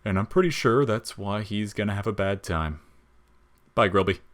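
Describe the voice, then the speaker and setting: A man's voice: on the low side, lively intonation, normal speed, fairly bright tone. A man playing a laid-back, wisecracking skeleton in an audio story, casual, joking and slangy.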